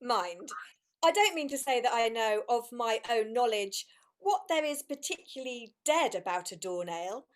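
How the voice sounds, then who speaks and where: Give the story to a wry Victorian narrator played by a woman with a fairly high voice. The delivery is measured and emphatic, relishing wordy sentences in a dramatised storybook reading. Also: animated, natural voice. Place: online video call.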